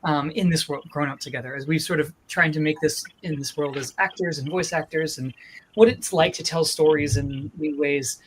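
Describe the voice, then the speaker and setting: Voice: medium pitch, moderate pace, a little hiss or hum, low-quality recording. Enthusiastic audiobook producer speaking conversationally. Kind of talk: informal project presentation.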